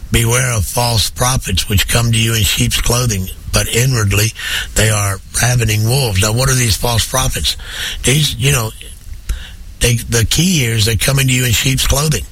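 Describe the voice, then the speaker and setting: A man preaching fervently on a program while hoarse with a head cold, building his points through emphatic, rising repetition. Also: mid-range voice, steady tone, moderate pace, a bit bright, rough recording. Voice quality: husky.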